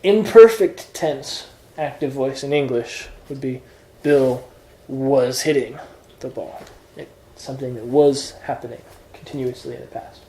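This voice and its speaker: Male tutor, patient, step-by-step explainer, warm tone, roomy echoey recording. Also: mid-range voice, a little slow, few pauses, a little hiss or hum. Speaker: Greek tutor. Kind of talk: informal one-on-one lesson.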